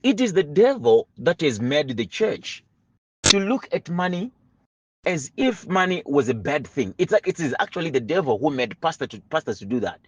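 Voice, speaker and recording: A man's voice: on the high side, sing-song, normal speed, dull audio, a little hiss or hum. Earnest man, preacher-like emphasis. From Zimbabwe. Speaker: money mentor. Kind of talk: motivational audio lesson.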